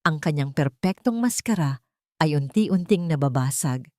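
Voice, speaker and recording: Lower-pitched woman narrating a dramatic story. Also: lively intonation, normal speed, warm tone, dry recording, studio quality.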